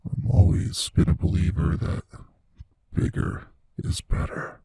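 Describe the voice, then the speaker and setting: A man voicing an ancient immortal monster in a horror ASMR roleplay, hushed and breathy, slow and menacing, world-weary yet savoring fear. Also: no echo, studio quality, natural voice. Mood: gloating, playful menace. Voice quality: hushed, husky, breathy low voice. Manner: slow, menacing, philosophical, intimately taunting. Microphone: close studio mic, dry.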